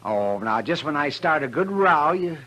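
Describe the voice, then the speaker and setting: An actor playing a crusty older frontier town doctor with a folksy, grumbling way of talking, in a 1950s western radio style. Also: male voice, on the high side, very animated, normal speed, bright, forceful tone, muffled recording. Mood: concerned, easygoing. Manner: folksy, grumbling, chuckling banter. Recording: noisy old radio recording.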